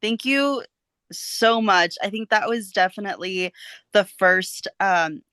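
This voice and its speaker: A woman's voice: medium pitch, slow, sharp tone, smooth, no echo, studio quality. A woman from the United States chatting casually as an interview guest on a podcast. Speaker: podcast guest, professional wrestler.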